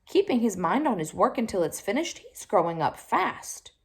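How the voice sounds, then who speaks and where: A woman voicing a steady, practical father in a children's storybook, musing in a measured way. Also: medium pitch, gravelly.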